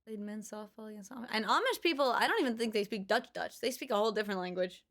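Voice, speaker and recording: A woman's voice: mid-range voice, expressive, quick pace, a bit bright. A woman livestreamer chatting casually with her viewers, musing aloud and reading out their comments. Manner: chatty, musing, reads out chat.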